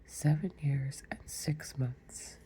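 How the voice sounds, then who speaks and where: A woman's voice: low-pitched, takes it slowly, warm tone. A woman whispering as polite young Alice. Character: polite, curious little girl. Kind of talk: whispered storybook reading.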